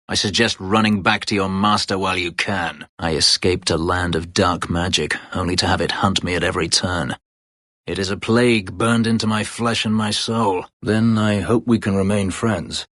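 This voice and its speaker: A man voicing a brooding, bitter escaped elf slave in a fantasy video game, husky and guarded, with terse, formal, dry phrasing. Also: normal speed, sharp tone, dry recording. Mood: defiant, urgent. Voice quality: husky, gravelly low voice.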